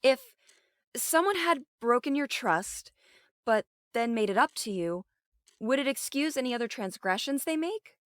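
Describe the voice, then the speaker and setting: A woman's voice: high voice, animated, moderate pace, a bit bright, no echo. Woman acting a guarded police captain, dry sound. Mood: tentative. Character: guarded, conflicted adult female police captain. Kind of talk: audio drama acting.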